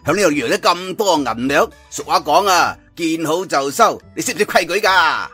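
A Cantonese man voicing a rough, bullying gambling-house champion, confrontational and scolding, in an audio storybook. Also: on the high side, animated, normal speed, sharp tone, faint music behind, pitched above the performer's own voice, heavily acted. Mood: menacing, scornful.

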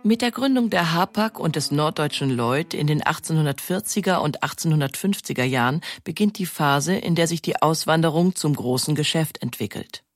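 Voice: mid-range voice, expressive, normal speed, hardly any pauses, dry recording. A documentary narrator reading a scripted historical account.

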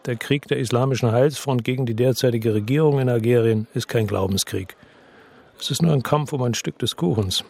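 An actor playing a world-weary, bitter crime investigator who narrates and talks tersely in a radio drama, with a gravelly, husky, deadpan voice. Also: male voice, mid-range voice, fairly quick, dry recording. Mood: grim, weary. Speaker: first-person narrator and lead character in a radio drama.

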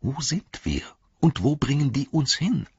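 A man voicing a frightened, cold young male hobbit held captive, asking himself anxious, self-reproaching questions in a husky voice. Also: medium pitch, very animated, unhurried, no echo, highs cut off, pitched above the performer's own voice, full character voice. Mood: worried. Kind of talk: audiobook character voice.

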